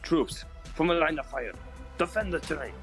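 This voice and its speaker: A man's voice: on the high side, animated, moderate pace, a bit bright. Man playing a folksy frontier train guard. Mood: alarmed. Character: gruff Old West soldier guarding a train. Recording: voice under background music.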